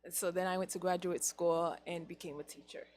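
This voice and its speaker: A woman from Haiti with a Haitian accent, a teacher guest on a talk show, speaking in a measured, thoughtful way. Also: lowish voice, steady tone, a little mellow, slight background noise.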